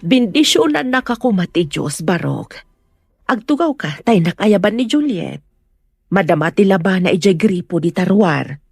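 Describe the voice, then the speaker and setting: A woman's voice: mid-range voice, animated, moderate pace, vocal fry, a little mellow, breathy edge, dry recording, studio quality, soft background music. A woman playing a protective, neighbourly village mother with an Ilocano accent in a radio drama. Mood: guarded.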